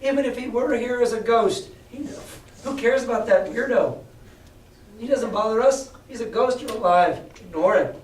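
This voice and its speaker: A man voicing a superstitious, excitable pirate crewman who talks loud in sailor's slang, in an echoey room. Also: high-pitched, normal speed, pitched above the performer's own voice. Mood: scornful. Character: superstitious rough pirate crewman, easily swayed. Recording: echoey room, far from mic.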